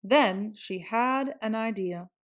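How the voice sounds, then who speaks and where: Female children's storyteller, higher register, very unhurried scripted delivery. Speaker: read-aloud storyteller.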